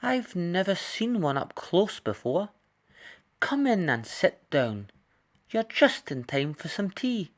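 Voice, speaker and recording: A man's voice: sits high, big pitch swings, slow, voice pushed up, exaggerated voice. A man voicing a courteous, wistful old wolf in a children's storybook. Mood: courteous, gentle.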